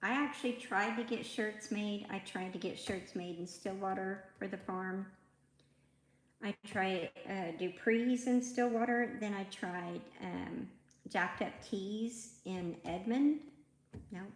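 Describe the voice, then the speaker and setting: A woman in her fifties hosting a casual livestream, chatting and rambling as she greets her viewers by name. Her voice is soft and a little raspy, and she talks into a tablet microphone. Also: medium pitch, slow. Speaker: livestream host. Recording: echoey room.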